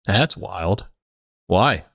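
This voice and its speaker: A man with a lowish voice and very animated pitch riffs as a podcast co-host. His banter is casual and irreverent, recorded close and dry. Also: very slow, creaky, breathy edge, no echo. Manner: irreverent, swears freely.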